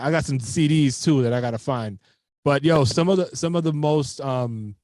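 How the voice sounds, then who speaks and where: A man's voice: medium pitch, darkish tone, no room sound. A man hosting a podcast, introducing a guest in a loose, enthusiastic style full of hip hop slang, speaking into a studio mic at home. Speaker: podcast host. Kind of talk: casual podcast chat. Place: home room with framed records. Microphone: studio mic on boom arm with headphones.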